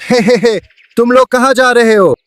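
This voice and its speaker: Man voicing a high-pitched cheeky monkey. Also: moderate pace, a bit bright, no echo. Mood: cheerful, helpful. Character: cheeky, helpful talking monkey, male. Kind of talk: children's cartoon story acting.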